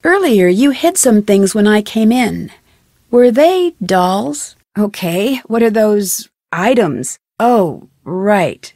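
A woman's voice: mid-range voice, sing-song, slow pace, a little mellow, dry recording, clean studio sound, full character voice. A young American woman voicing an inquisitive, polite and determined amateur detective in an adventure video game, with an earnest, questioning delivery. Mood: probing, determined. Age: young adult. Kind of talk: scripted adventure game voice acting.

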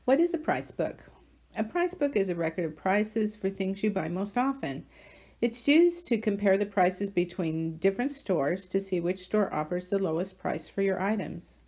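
A woman hosting a channel, reading a scripted how-to voice-over over slides in an even, instructional way. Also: medium pitch, normal speed. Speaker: channel host.

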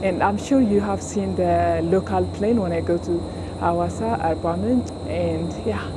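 A woman's voice: fairly low pitch, measured pace. A young woman with an Ethiopian accent, chatting casually to camera as a travel vlogger. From Addis Ababa, Ethiopia. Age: young adult. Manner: informal, addresses viewers as guys. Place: busy airport, tarmac and plane cabin. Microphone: clip-on mic, selfie camera at arm's length. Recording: noisy airport and plane cabin ambience.

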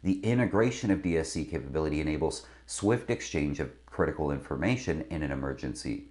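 A man's voice: extremely low pitch, lively intonation, fast, darkish tone. A middle-aged man presenting scripted instruction to camera as a sailing video host. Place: indoor studio with branded backdrop. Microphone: lapel mic.

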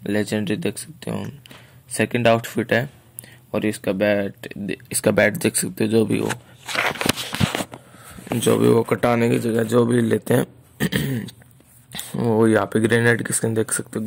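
A man's voice: on the low side, creaky. A man with an Indian accent giving casual game showcase commentary, often repeating his phrases. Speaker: gaming video creator and host.

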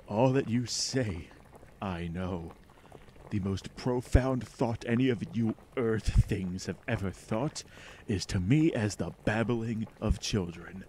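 Slow, menacing alien overlord. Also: male voice, fairly low pitch, darkish tone, a little hiss or hum. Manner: slow, pompous, contemptuous declamation. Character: ancient, arrogant, menacing alien mind from a meteor. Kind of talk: retro radio drama acting.

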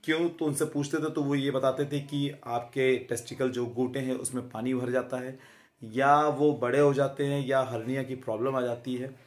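A man in his thirties giving a casual fitness talk straight to camera, chatty and friendly, often pausing with filler sounds and tag questions, recorded on a phone held close in a small room. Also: mid-range voice, fairly even intonation. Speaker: fitness vlogger.

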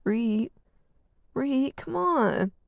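Whiny younger teen brother, read by the narrator, high and gravelly at the edges. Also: moderate pace, no echo, studio quality, lightly acted. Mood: whiny, pleading. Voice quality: whining, high and pleading. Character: whiny hurt teenage boy, younger brother.

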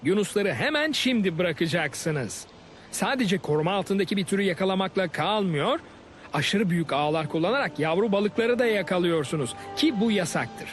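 A young cartoon hero, high in pitch and firm in manner. He is righteous and defiant, with faint music underneath. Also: expressive, normal speed. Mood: stern. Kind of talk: Turkish dubbed educational cartoon.

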